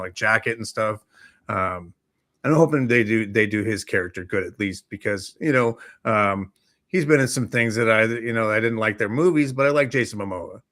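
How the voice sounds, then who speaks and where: Low-voiced man in rambling casual chat. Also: a bit bright, no room sound, clean studio sound. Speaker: talk show co-host. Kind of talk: casual chat about films and comics.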